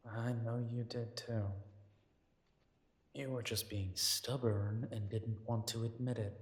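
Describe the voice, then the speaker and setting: A man's voice: on the low side, a little mellow, breathy edge, no echo. A man voicing a grumbling but affectionate werewolf detective in an intimate audio roleplay, wry and fondly teasing. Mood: soft, teasing. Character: gruff, affectionate male werewolf detective, grumbling city dweller.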